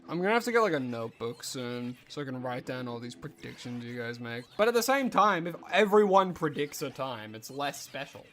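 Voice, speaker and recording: A man's voice: medium pitch, big pitch swings, a little fast, hardly any pauses, a bit bright. A young man streaming a game, sounding stuffy and nasal from allergies, chatting casually with viewers and musing aloud with fillers. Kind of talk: casual live-stream chat while gaming.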